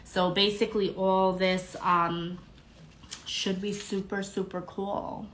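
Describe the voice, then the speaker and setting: A woman's voice: mid-range voice, little pitch movement, takes it slowly, a bit bright, a little hiss or hum, low-quality recording. A young woman hosting a live podcast stream, congested and a little nasal, bubbly and greeting viewers by name between fillers. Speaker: podcast host. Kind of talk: casual live-stream chat.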